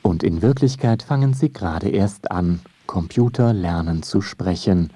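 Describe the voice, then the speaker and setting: A man's voice: sits low, unhurried, mellow, husky. A man narrating a scripted radio feature in a wry storytelling way.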